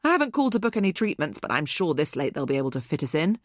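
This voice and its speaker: A woman's voice: high voice, very animated, unhurried, a bit bright, dry recording, clean studio sound, pitched above the performer's own voice, full character voice. A woman reading an audiobook, voicing a chatty, upbeat woman friend.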